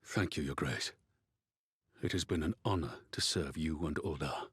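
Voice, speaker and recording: A man playing a loyal, gruff veteran warrior in a fantasy game, husky and grave, with formal, heartfelt delivery. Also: rumbling low, moderate pace, fairly bright tone, dry recording, studio quality, heavily acted. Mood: moved, humble. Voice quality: husky, raspy. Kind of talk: dramatic fantasy game voice acting.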